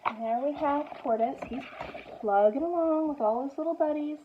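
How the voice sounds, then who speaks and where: A woman teacher doing an expressive picture-book read-aloud for young children, commenting and asking prompting questions in an animated way. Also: high-pitched, moderate pace, phone-quality sound, a little hiss or hum. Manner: expressive, animated storytelling with gasps and exclamations.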